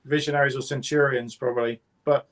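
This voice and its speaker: A man's voice: medium pitch, steady tone, fairly quick. Hesitant man in casual chat.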